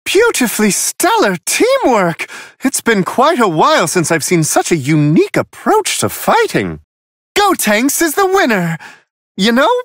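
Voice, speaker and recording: A man's voice: very high voice, huge pitch swings, measured pace, a bit bright, dry recording, clean studio sound, exaggerated voice. A man voicing a refined, unflappable, amused celestial attendant in a video game, commentating on fights with prim, courtly, theatrical precision. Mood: delighted, admiring.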